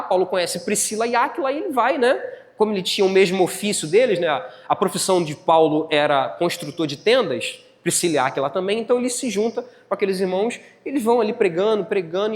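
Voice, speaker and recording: A man with a Brazilian Portuguese accent preaching a church sermon as a pastor, teaching step by step, repeating phrases and putting check-in questions to his listeners. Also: high-pitched. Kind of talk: church sermon with Bible exposition. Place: church hall, live service. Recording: long hall reverb.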